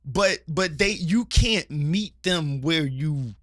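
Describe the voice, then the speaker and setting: A man from Philadelphia rants passionately at a slow, unhurried pace, circling back and restarting his thoughts. His voice sits a little on the high side for a man. The sound is dry and close, with no room echo. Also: animated, fairly bright tone. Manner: passionate, rambling, many restarts. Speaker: podcast co-host. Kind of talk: casual church-culture chat and rant. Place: studio table with co-host.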